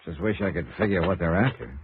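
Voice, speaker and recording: Actor playing a low-voiced hard-boiled radio detective, muffled old recording. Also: male voice, fast talker, background noise, close to an everyday voice. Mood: thoughtful. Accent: American. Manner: clipped, sardonic, hard-boiled. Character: tough, shrewd male freelance insurance investigator. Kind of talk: 1950s radio noir acting.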